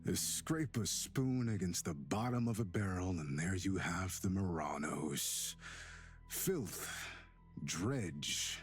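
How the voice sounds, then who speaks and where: A man voicing a bitter, mocking centuries-old demon in a young man's body, with theatrical drawn-out delivery, for a visual novel game. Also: deep voice, lively intonation. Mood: mocking, menacing. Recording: music behind the voice.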